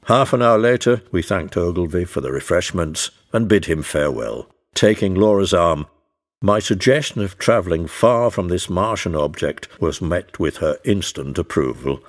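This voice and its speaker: A man giving measured, formal first-person narration in the dramatic manner of a period adventure story. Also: low-pitched, animated. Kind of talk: dramatic scripted audiobook narration. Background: music under the narration.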